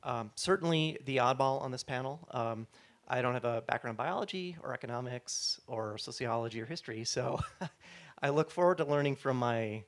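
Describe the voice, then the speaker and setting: A man's voice: mid-range voice, normal speed. A man introducing himself modestly as a physicist and writer on a stage panel, speaking into a handheld mic. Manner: modest, self-deprecating. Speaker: panel guest, physicist and novelist. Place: large hall stage before an audience.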